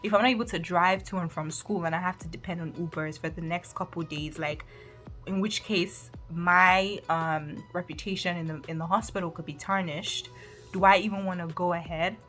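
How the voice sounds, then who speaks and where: A young Nigerian woman with a fairly low voice talks straight to her viewers in a loose, chatty vlog. She tells her story in an animated way, with exasperated asides and quick laughs. Faint music plays underneath her voice. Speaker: medical student vlogger.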